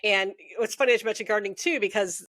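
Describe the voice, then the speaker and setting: A woman's voice: mid-range voice, fast, fairly bright tone, no room sound. Woman interview host, easygoing and talkative with frequent laughs, recorded dry and close. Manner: chatty, laughs often, rambling. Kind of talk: casual interview conversation.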